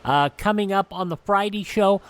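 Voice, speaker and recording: A man hosting a radio podcast, giving a casual, unscripted rundown in a halting, self-correcting way. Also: high-pitched, smooth.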